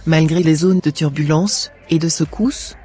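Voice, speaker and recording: Woman acting as grateful pious wife, mellow high-set voice, formal cartoon dialogue, music underneath. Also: measured pace, slightly rough. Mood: grateful, devout.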